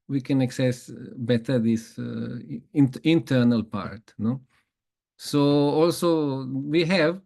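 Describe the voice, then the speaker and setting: Hey so a guy giving a relaxed spiritual talk, medium pitched voice, chatty, keeps tacking on little "no?" questions. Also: vocal fry. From Germany. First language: Bosnian. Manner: conversational, chuckles often, frequent tag questions. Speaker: spiritual teacher.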